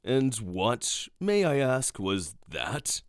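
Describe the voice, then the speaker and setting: An actor playing an aloof, sardonic god in a fantasy audio drama, with a dry, disdainful way of talking. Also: male voice, medium pitch, big pitch swings, extremely slow, clean tone, no room sound. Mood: dry, questioning. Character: aloof, disdainful male deity, dryly sardonic.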